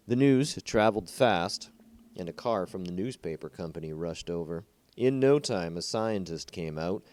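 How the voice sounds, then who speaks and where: A man's voice: deep voice, darkish tone, dry recording. A man narrating a short story from a script as an amateur reader.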